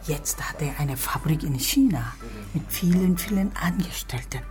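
A woman's voice: low voice, lively intonation, normal speed, a little mellow, faint music behind. An actress playing a proud, insistent middle-aged mother of a grown son in a radio crime drama.

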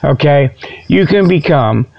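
Folksy rambling man, gravelly creak. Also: on the high side, animated, measured pace, muffled recording. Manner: folksy, colloquial grammar, digressive. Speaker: host of a comedy show. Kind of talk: rambling, tongue-in-cheek monologue.

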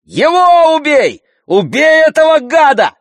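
A hot-headed young boy in an audiobook, acted out by a man. The voice leaps high and loud, indignant and insistent, with a vengeful edge. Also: huge pitch swings, a little slow, dry recording, full character voice. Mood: fierce urging. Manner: shouting, insistent, outraged. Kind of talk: audiobook character voice.

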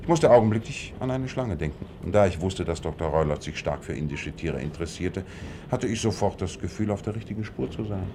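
A man acting in a radio play as a shrewd, composed detective, laying out deductions in a measured, self-assured way. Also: deep voice, animated, noisy background. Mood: explanatory, assured.

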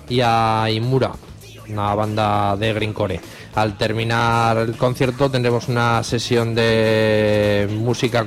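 A man with a Spanish accent from Spain, presenting event listings on a radio show. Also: medium pitch. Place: radio studio. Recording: faint music underneath.

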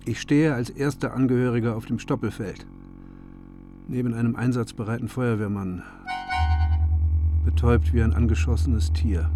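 An actor playing a bereaved, broken father in a radio drama, speaking in stark, measured short sentences. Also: on the low side, warm tone, natural voice. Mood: defiant, numb. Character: grief-stricken bereaved father, broken, proud, brooding.

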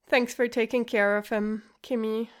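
A woman voicing a worried young father, calling out loudly and urgently. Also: fairly high pitch, flat delivery, normal speed, clean tone, natural voice. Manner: loud and urgent.

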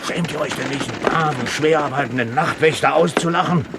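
An actor playing a sly, boisterous one-legged pirate quartermaster who curses and wheedles, in a radio play. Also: male voice, a little high, brisk, very noisy, lightly acted. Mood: mock scolding. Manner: cursing, wheedling sailor talk. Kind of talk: 1970s German radio play acting.

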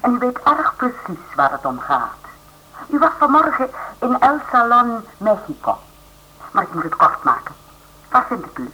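A woman's voice: fairly high pitch, sing-song, normal speed, sharp tone, breathy edge, heavily acted. An actress playing a secretive young Mexican woman calling urgently on the telephone in a radio drama. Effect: telephone line. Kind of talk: Dutch radio drama acting. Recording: tinny phone line with faint hiss.

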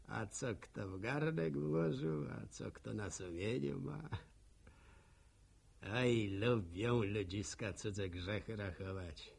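Gravelly, husky village postman and gossip. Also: on the low side, a little mellow, heavily acted. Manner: folksy, rhetorical, unhurried storytelling. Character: nosy, talkative village postman, good-humoured gossip. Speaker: narrator of a radio drama. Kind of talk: folksy monologue in a rural radio drama.

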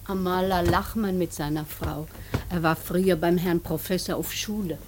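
An actress in a radio play, playing a dutiful housemaid who announces visitors. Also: female voice, fairly low pitch, steady tone, normal speed, darkish tone, a little hiss or hum, natural voice. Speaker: actor in a radio drama.

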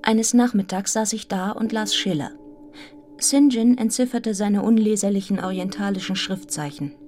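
An actress playing a serious, restrained young governess in a radio drama, telling her story in a measured, articulate, introspective way. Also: medium pitch, a little mellow, soft background music, lightly acted. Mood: reflective, grave. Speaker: actor in a radio play. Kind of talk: literary radio drama narration and dialogue.

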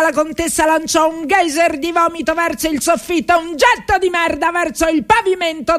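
A woman actress narrating a comic story for radio theatre, grandly emphatic, with dramatic pauses. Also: sits high.

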